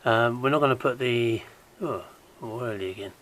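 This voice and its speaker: A man with a croaky voice gives a casual, hands-on how-to while working on an engine in a garage, chatting along and dropping to a mutter as he works. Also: medium pitch, normal speed, a bit bright. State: croaky, recovering from illness. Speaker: DIY car repair vlogger.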